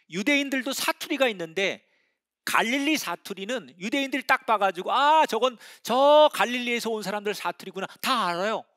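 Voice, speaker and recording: A middle-aged man preaches in a high voice with a very lively, sweeping intonation. He tells the story dramatically, dropping to hushed whispers and then rising to loud exclamations. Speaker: pastor. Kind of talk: sermon.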